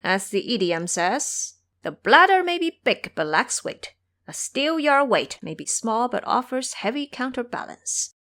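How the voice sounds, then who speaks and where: A woman's voice: a little high, very animated, measured pace, sharp tone, pitched above the performer's own voice, full character voice. A woman voicing a cocky, teasing male monkey king in an audio drama, boastful, preachy and theatrical in manner.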